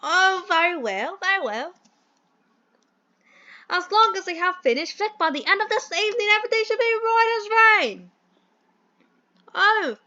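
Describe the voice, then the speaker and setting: A woman voicing a pompous, cheerful old-fashioned British gentleman boss in a comic audio drama, with theatrical, quaint exclamations. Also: extremely high pitch, dull audio, exaggerated voice.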